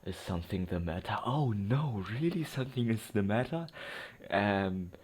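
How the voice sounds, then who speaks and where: A man's voice: lowish voice, lively intonation, normal speed, darkish tone, husky. A man giving casual let's play commentary, rambling, thinking aloud and correcting himself as he goes. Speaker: gaming streamer. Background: game music.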